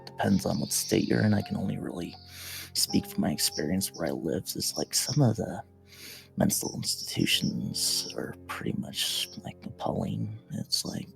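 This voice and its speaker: A man's voice: deep voice, animated, normal speed, creaky, a bit bright, faint music behind, low-quality recording. A man from the United States talking loosely in a casual group chat, with lots of filler words. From USA. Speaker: guest.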